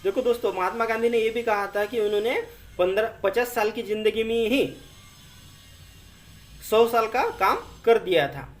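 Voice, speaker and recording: Educational presenter, mid-pitched, informal explainer, reads aloud, repeats and rephrases, addresses listeners as friends. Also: normal speed, pauses often. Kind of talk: informal explainer, reading notes aloud and paraphrasing.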